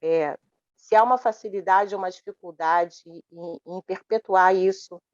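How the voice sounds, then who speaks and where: A woman's voice: medium pitch, moderate pace, no echo. A woman in the audience asking a long, hesitant question at a panel, with many restarts. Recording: dull lo-fi sound.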